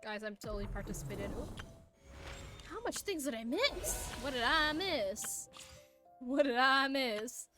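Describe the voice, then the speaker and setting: A woman's voice: high voice, expressive, a little slow, loud music behind the voice. A woman giving casual, playful, exclamatory commentary as she streams a video game live.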